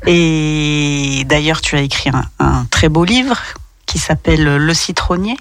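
A woman's voice: low-pitched, measured pace, dry recording. A woman hosting a radio interview, asking questions and recommending books in a casual tone. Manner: encouraging, brief interjections. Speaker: radio host.

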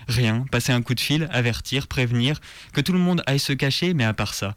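A man's voice: mid-range voice, expressive, a little fast, no echo. Man delivering a dry-sounding spoken monologue. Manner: colloquial, slangy. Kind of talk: written first-person militant monologue.

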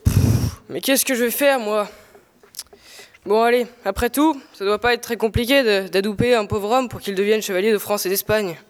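A woman's voice: medium pitch, normal speed, in a large hall, a little hiss or hum, natural voice. A woman playing a flustered, exasperated bartender in an audio drama, hesitant and exclamatory.

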